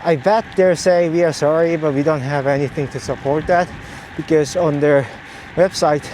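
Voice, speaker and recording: Male cycling vlogger, high voice, explanatory and halting delivery, recorded outside with noticeable vehicle noise. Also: steady tone, darkish tone. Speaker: vlogger, cyclist testing camera gear. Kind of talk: explanatory vlog talk while riding. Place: outdoors on the road while cycling. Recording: clear outdoor road and traffic noise. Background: ambience and vehicle noise.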